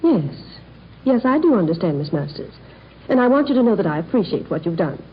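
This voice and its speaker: An actress playing a poised, possessive society fiancée in an old radio drama, polished and sweetly condescending. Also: female voice, medium pitch, sing-song, a little fast, muffled recording, slight background noise, lightly acted. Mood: coolly gracious. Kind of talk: 1930s-40s radio acting.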